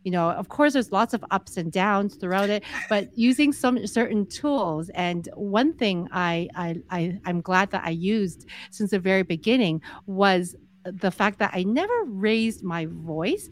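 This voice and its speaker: A soft-spoken woman in her forties, a guest explaining her views in a measured, easygoing interview. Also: mid-range voice. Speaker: podcast guest, entrepreneur. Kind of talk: casual interview conversation.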